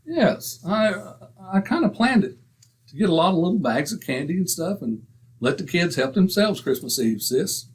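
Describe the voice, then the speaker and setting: A man playing a brash, boastful husband in an old-time radio comedy, excitable and self-mocking, with a husky voice. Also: sits high, normal speed, heavily acted. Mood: blustery. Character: blustering boastful middle-aged husband. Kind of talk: 1940s radio acting.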